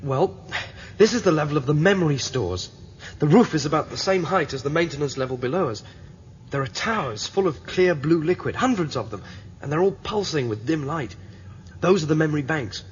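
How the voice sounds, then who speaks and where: A man playing a calm, practical guide in a radio drama, reassuring a companion. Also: fairly high pitch, a little fast, lightly acted. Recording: dull lo-fi audio with faint noise.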